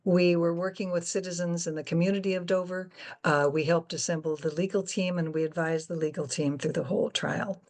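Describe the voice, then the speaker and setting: Low-voiced older woman moderating an interview. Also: steady tone, a little fast, darkish tone. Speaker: guest moderator. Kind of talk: introducing and interviewing a guest.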